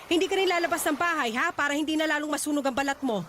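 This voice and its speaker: A woman's voice: high-pitched, very fast. A woman acting a pushy, pageant-obsessed mother with a Filipino accent, scolding and commanding in a radio drama. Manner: scolding, commanding, sharp-tongued. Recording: faint background noise.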